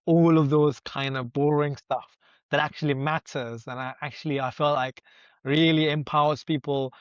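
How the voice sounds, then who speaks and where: A man's voice: fairly high pitch, fairly even intonation, moderate pace, smooth, no room sound. A young man from Sri Lanka whose first language is Sinhala, speaking as an interview guest in an earnest, reflective way and thinking aloud with rhetorical questions. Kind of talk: conversational interview. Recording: dull, dry lo-fi sound.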